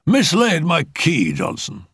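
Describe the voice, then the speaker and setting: A man voicing a courteous, fussy upper-class older gentleman with a formal, brisk, polite manner. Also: a little high, wildly swooping pitch, husky, no room sound, studio quality, voice pushed up, full character voice.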